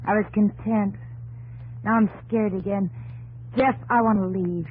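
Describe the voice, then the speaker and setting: A husky, breathy American farm wife from the frontier in a 1950s radio play. She is frail, weary and plaintive, full of emotion. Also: medium pitch, steady tone, measured pace, a little mellow, rough recording. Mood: despairing, weary. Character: frail, worn-out, fearful young pioneer farm wife. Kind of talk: 1950s radio drama acting.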